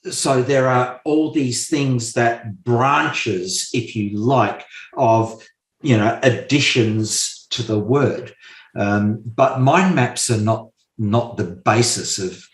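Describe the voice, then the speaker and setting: Slow, deliberate man in an echoey room. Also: medium pitch. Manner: slow, deliberate, repeats key points with hesitant fillers. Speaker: guest expert on memory and mind maps. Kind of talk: explanatory interview talk. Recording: roomy echo.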